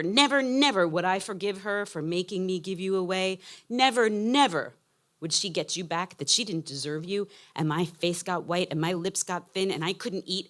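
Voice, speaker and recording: A woman in her fifties reading a personal essay aloud with emotional, emphatic phrasing. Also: mid-range voice. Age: 50s. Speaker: essayist reading own work.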